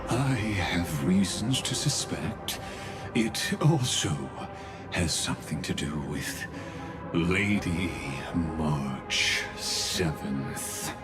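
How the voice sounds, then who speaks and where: Very deep, slow scheming villain. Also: male voice, expressive, over loud music, exaggerated voice. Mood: grave, insinuating. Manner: measured, formal, insinuating. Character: composed, scheming male villain with sly formal courtesy. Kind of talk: video game voice acting.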